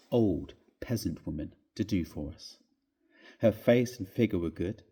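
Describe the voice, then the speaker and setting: Husky, low-voiced male storyteller. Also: warm tone. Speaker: reader of a classic ghost story. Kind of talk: theatrical storytelling narration.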